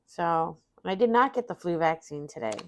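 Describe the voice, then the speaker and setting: A woman's voice: fairly low pitch, lively intonation, moderate pace, a little hiss or hum. A middle-aged woman, sniffly and tired after vaccines, chatting casually and rambling about her day while playing solitaire. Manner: rambling, self-deprecating, talks to chat. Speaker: streamer.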